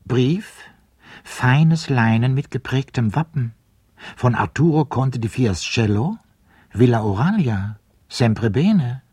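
A man's voice: medium pitch, expressive, takes it slowly, darkish tone, breathy edge, dry recording. A man narrating a radio play, announcing each letter in a dry, formal manner.

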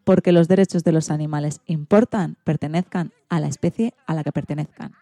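A woman's voice: sits low, steady tone, a little fast, dark tone, no room sound. A woman with a Spanish accent from Spain, hosting a radio program in a scripted presenting style.

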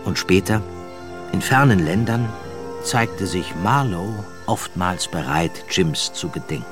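A man narrating a literary radio drama in a measured, atmospheric storytelling manner. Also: low-pitched. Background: music.

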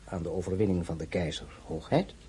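An actor playing a shrewd, polished excellency in a period radio drama, formal and pointed, with a husky voice. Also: male voice, deep voice, fairly quick, warm tone, close to an everyday voice. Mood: probing, sly.

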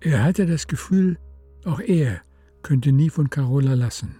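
An actor as the calm narrator of a literary radio play, hushed and measured in his telling. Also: fairly high pitch, husky, faint music behind. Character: calm literary storyteller of a love story.

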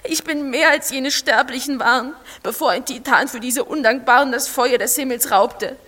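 Woman acting as high-voiced android woman, sorrowful, proud, reproachful, lofty declamation, audio drama. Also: moderate pace, sharp tone. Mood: proud, despairing.

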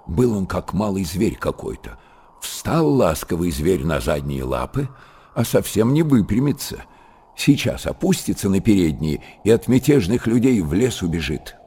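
An actor narrating a monastery tale in a radio play, lyrical and measured, with dramatic pauses. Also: male voice, low-pitched, very animated, warm tone, husky, heavily acted. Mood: hushed, storytelling.

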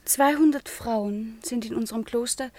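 An actress playing a proud, melancholy eighteen-year-old convent girl in a classical radio drama, with intense, declamatory delivery that often drops to a hush. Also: on the high side, moderate pace, no room sound, lightly acted. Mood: earnest, melancholy. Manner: intense, declamatory, often dropping to a hushed whisper.

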